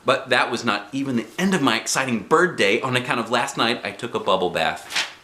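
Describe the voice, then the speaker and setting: A man voicing an excitable, boastful first-grade girl telling her journal in a dramatic, earnest, childlike way from a storybook. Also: mid-range voice, a little fast. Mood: proud, musing. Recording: roomy home recording.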